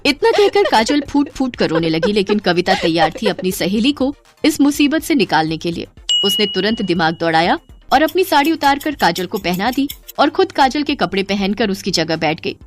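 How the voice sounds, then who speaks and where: A woman narrating a cartoon story in a dramatic, expressive scripted way, with faint music behind. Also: mid-range voice, moderate pace.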